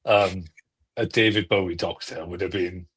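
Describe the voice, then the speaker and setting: An enthusiastic, excitable man chatting casually as a panelist on a podcast. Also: low-pitched, moderate pace, slightly rough. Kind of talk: casual chat.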